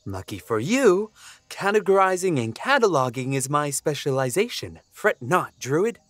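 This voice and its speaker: Man as fussy, higher-voiced god of order. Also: big pitch swings, moderate pace, fairly bright tone, no echo. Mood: pedantic, assured. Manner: pedantic, precise. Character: fussy, confident male god of order and cataloging. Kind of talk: fantasy audio drama acting.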